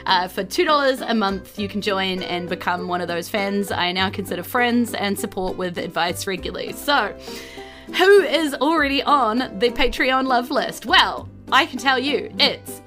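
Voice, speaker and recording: A woman's voice: mid-range voice, expressive, moderate pace, music in the background. A woman with a New Zealand accent hosting a podcast, chatting informally through announcements and thanks to supporters. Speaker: podcast host.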